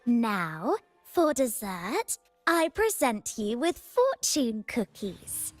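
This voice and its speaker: A woman's voice: on the high side, very animated, very slow, heavily acted. An actress playing a vain, theatrical young woman who savors her words in a video game. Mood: pleased. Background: game music.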